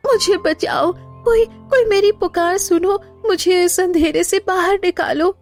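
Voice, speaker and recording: A woman's voice: very high-pitched, measured pace, a little mellow, faint music behind. A woman voicing an innocent, devoted young village woman, polite, earnest and dramatic, in a cartoon moral story. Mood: desperate.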